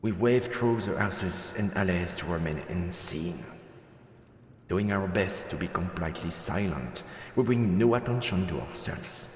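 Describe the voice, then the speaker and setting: Low-voiced man streaming, heavy echo. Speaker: game streamer. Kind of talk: let's play commentary, reading story text aloud.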